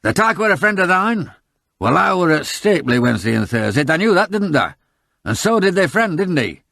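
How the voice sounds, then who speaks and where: A man playing a rough, middle-aged farmer from a story, with a Northern English accent, a harsh growl and a curt, threatening manner. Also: high-pitched, sing-song, a little fast, sharp tone, no echo, clean studio sound, pitched above the performer's own voice, heavily acted. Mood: enraged.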